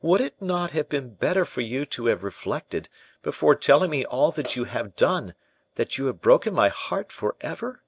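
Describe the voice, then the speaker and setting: A man's voice: mid-range voice, sing-song, moderate pace, slightly rough. A man reading a storybook part as a young imprisoned prince, proud, embittered and hot-blooded, in formal archaic speech. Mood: impassioned reproach. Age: young adult. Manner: formal archaic speech, rhetorical questions, impassioned.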